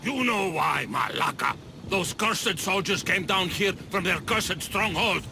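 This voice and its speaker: An actor playing an outraged, foul-mouthed male island villager in a video game, with a Greek accent and an emphatic, excitable manner. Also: sits high, unhurried, vocal fry, background noise, full character voice. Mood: angry, urgent. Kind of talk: dramatic video game acting.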